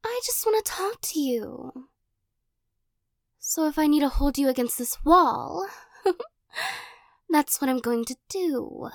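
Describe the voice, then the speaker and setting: A young woman voicing a possessive, teasing girl who corners the listener in an audio roleplay, giggling and coaxing, often dropping into soft whispers. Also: high voice, unhurried, pauses often, no room sound, studio quality.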